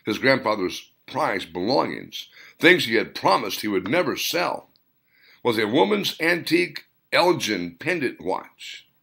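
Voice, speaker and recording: A man reading his own novel as a dramatic audiobook, in deliberate, theatrical short phrases. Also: medium pitch, big pitch swings, vocal fry, fairly bright tone, slightly rough.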